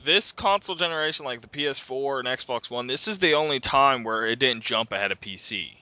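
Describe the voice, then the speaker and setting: A man's voice: mid-range voice, lively intonation, a little fast, pushed and bright, dry recording. A man in his twenties chatting loosely as a podcast host, rambling and full of fillers. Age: 20s. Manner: loose, rambling, says "like" a lot. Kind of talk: casual chat. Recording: dull lo-fi podcast mic.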